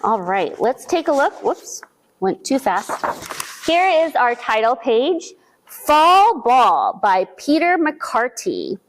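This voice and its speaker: A woman with a high, very lively voice reads a picture book slowly to little children. She stops often to ask them questions, drawing them into the story. Her pitch swings widely from line to line. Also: slight background noise, poor audio. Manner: slow, animated, speaking to young children with questions. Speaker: children's librarian reading aloud.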